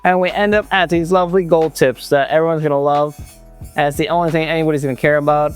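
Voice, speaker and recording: Male vlog presenter, fabricator type, fairly high register, playful and informal, light music underneath. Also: steady tone, quick pace, clean tone. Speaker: exhaust fabricator presenting a build. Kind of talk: casual vlog chat about a build. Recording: music behind the voice.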